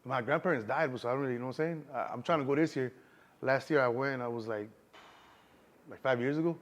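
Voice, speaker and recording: A man's voice: mid-range voice, fast talker. A man in his thirties with a Chicago accent, a guest in a casual street interview, talking loosely and constantly saying "you know what I'm saying".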